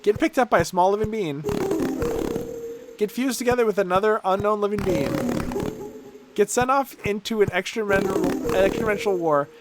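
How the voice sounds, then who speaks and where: A man's voice: sits high, fairly quick, long pauses, very noisy. A man streaming a video game, reading its text aloud and reacting live in an irreverent, sweary, amused way.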